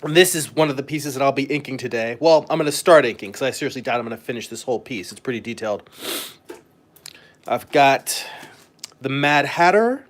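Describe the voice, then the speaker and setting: A man's voice: medium pitch, a little fast. A man who works as a comic artist, chatting casually and a bit ramblingly as he hosts a live stream. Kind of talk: casual live-stream chat while demonstrating.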